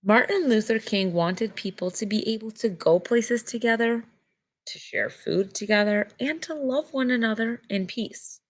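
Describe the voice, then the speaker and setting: A woman reading a picture book aloud to children like a teacher, pausing to explain things in simple words. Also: fairly high pitch, a little hiss or hum. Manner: simple, child-directed explaining with short phrases. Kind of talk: read-aloud storytelling with simple explanatory asides.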